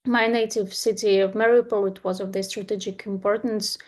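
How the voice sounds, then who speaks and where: A woman in her thirties from Eastern Ukraine, speaking English with a Ukrainian accent, presenting a talk with earnest delivery and frequent pauses. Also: medium pitch, little pitch movement, darkish tone. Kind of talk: presentation to an online audience.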